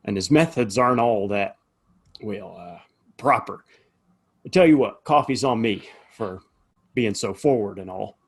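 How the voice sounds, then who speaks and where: Husky, put-upon saloon keeper played by a man. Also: lowish voice, expressive, unhurried, pauses often, exaggerated voice. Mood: curious, folksy. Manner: hesitant, folksy. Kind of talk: Western audio play acting.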